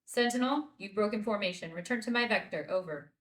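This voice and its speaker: A woman acts out a commanding female military aviator at a drama table read. Her voice sits at a medium pitch and she speaks with steady authority. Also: fairly quick, natural voice. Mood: terse, commanding. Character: steady, commanding female military flight leader, retired veteran.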